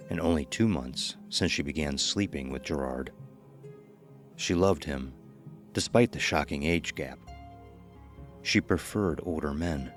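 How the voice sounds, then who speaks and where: A man narrating a scripted horror story as the storyteller of an audio drama. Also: extremely low pitch.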